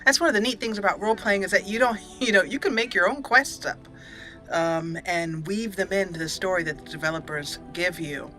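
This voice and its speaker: Woman doing a roleplay game playthrough, bright clear-cut voice, mid pitch, expressive reading, faint music behind. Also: brisk. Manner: reads character dialogue aloud expressively. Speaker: gaming channel host. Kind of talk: roleplay let's play narration.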